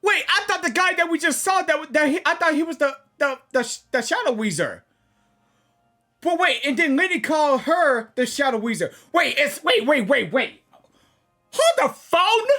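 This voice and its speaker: Casting: female game reactor, animated swings, excitable and stammering, faint music behind. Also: high voice, moderate pace. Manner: excitable, stammering, repeats words. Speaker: gaming reaction streamer. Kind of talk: casual live reaction commentary.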